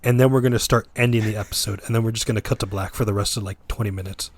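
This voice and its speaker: A man co-hosting a casual podcast, joking in quick banter. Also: lowish voice, fairly even intonation. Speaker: podcast co-host. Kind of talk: casual chat.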